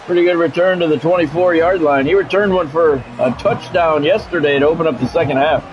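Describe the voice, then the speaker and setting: A man's voice: fairly high pitch, a little fast, muffled recording, a little hiss or hum. A man streaming a football video game, mixing play-by-play commentary with casual, blunt, salty chat.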